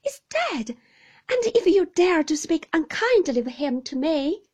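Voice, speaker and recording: A woman's voice: very animated, measured pace, mellow. Woman doing a trembling, timid young mother. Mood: indignant. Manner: trembling and high-strung. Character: timid, fragile young mother, easily frightened.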